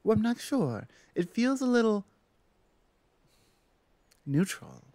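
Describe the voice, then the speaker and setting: A woman plays a female orc shaman in an intimate ASMR roleplay. Her voice is dark and soft-edged, recorded dry and close. She chats playfully, teasing and devoted, with little hesitant asides. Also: on the high side, very animated, takes it slowly, no echo, exaggerated voice. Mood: thoughtful, reassuring. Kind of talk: ASMR roleplay, intimate one-sided conversation.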